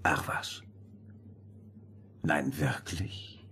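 An actor playing a refined, pompous gentleman, sly and measured, in a fairy-tale radio play. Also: rumbling low, steady tone, husky, full character voice. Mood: probing. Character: smooth-mannered, condescending noble gentleman, probing and sly.

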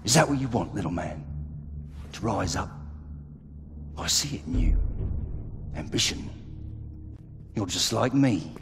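A sneering, low-voiced raider captain. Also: male voice, lively intonation, noisy background. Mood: menacing. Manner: grandiose, taunting, deliberate storytelling. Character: sneering, bitter male raider captain who relishes cruelty. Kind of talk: theatrical video game cutscene acting.

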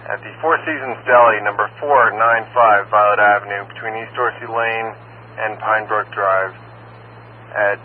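A man's voice: lowish voice, fairly even intonation, normal speed. A man working as a county fire dispatcher, reading formal, procedural announcements over two-way radio. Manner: procedural, repeats addresses and numbers in set phrases. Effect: two-way radio transmission. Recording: narrow, noisy scanner radio with hum.

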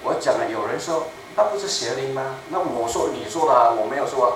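A man's voice: mid-range voice, expressive. Older man preaching in an echoey church. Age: 70s. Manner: deliberate, slow and emphatic, repeating key phrases. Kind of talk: sermon. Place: small church sanctuary. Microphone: room mic from a far camera. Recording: echoey room, distant mic, faint noise.

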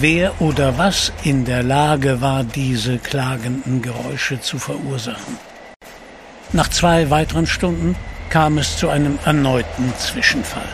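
Male narrator, scripted adventure drama, heavy creak, higher-than-average pitch, clear background noise. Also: a little slow.

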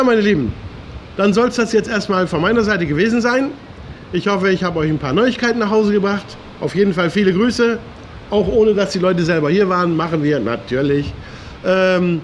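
A middle-aged man hosts a casual video update in a voice that sits a bit high for a man. He is chatty and speaks to viewers like familiar friends. He is recorded in the open air, with faint ambient noise around him. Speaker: vlog host. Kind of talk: casual chat to camera, weekly local news update. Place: open-air hotel roof terrace by the sea.

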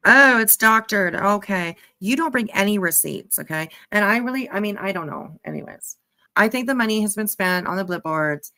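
A woman's voice: mid-range voice, animated, normal speed, sharp tone, dry recording. A woman giving casual, snarky commentary on a clip, mocking and swearing freely. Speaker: reaction channel commentator.

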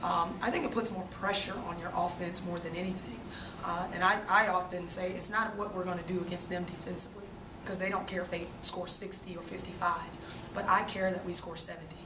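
A woman basketball coach at a postgame press conference, speaking in a subdued, measured way with many fillers, into a desk mic in a press room. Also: lowish voice, fairly even intonation. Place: press room with sponsor backdrop. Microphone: desk mic on stand. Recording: roomy, distant, noisy press room audio.

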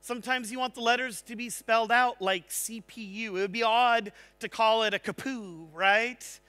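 A man speaking on a large conference stage, giving an energetic technical talk and checking in with the audience. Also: very high-pitched, normal speed, clear, resonant tone. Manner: energetic, engaging, asks the audience "right?".

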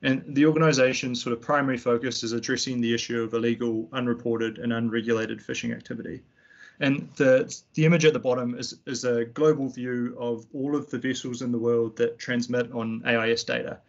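A young man with a New Zealand accent, giving a tutorial over a slide presentation in a relaxed way, with frequent ums and restarts. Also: mid-range voice, brisk, dull audio. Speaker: fisheries analyst giving a tutorial. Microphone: computer mic on a video call.

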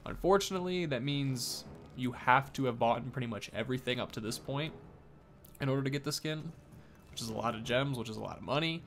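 A man's voice: medium pitch, fairly quick, a bit bright, a little hiss or hum. A man in his thirties giving informal, loose game showcase commentary, thinking aloud as he plays. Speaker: gaming video creator. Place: small indoor room. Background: game music.

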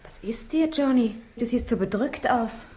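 An actress playing a caring young fiancée in a 1960s radio crime drama, with a gentle, solicitous manner. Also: female voice, on the high side, animated, moderate pace, lightly acted.

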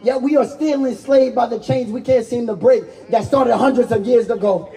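High-voiced man delivering impassioned protest poetry. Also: moderate pace, creaky, mellow. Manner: impassioned, emphatic, repeating key lines for effect. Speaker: spoken-word poet and activist speaker. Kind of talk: spoken-word protest poetry.

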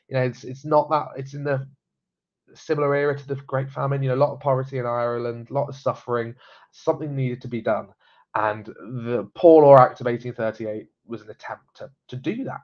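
Stuffy-nosed young man, chatty. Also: mid-range voice. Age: young adult. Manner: chatty, many fillers and repeated words. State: has a cold, stuffy. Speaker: genealogy presenter. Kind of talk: casual live-stream explainer. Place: small home room. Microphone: webcam at desk. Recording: slightly dull webcam audio.

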